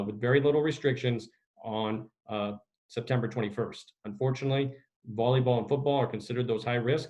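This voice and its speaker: Measured, formal male official on a call. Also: mid-range voice, darkish tone, low-quality recording. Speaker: executive director of a school sports association answering questions. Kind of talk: media conference call answers. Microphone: video conference call.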